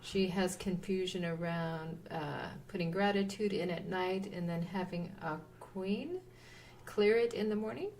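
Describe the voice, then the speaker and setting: Distant, slow-speaking woman reading questions. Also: on the low side, darkish tone. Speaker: assistant reading audience questions. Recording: distant mic with faint background noise.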